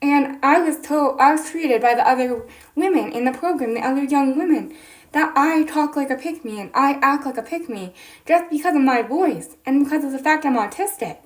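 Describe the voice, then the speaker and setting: A young woman with a high voice, speaking earnestly and insistently to camera in an opinion vlog, pressing her points with indignant questions. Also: moderate pace, poor audio. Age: young adult. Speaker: TikTok creator.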